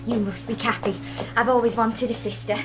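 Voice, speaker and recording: A woman's voice: fairly high pitch, steady tone, a little fast, soft background music, lightly acted, rough recording. A woman playing a childish, over-friendly young bride in a radio period drama, girlish and effusive. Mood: gushing.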